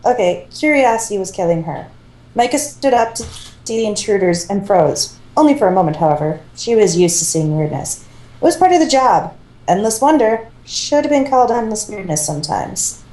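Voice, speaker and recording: A woman reads a story aloud in a relaxed, mellow voice. Her delivery is casual and amateur, with small self-corrections, and the room echoes around her. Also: medium pitch, moderate pace. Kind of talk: reading fan fiction aloud, casual.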